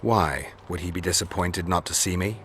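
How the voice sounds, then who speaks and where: A man's voice: deep voice, moderate pace, a little hiss or hum, natural voice. An actor playing a stoic, dry-witted monster-hunting swordsman in a fantasy video game, terse and wry. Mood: dry, composed.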